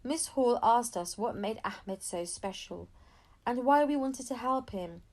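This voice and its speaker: A woman reading a children's novel aloud as an expressive storyteller. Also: on the high side, unhurried. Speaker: audiobook reader.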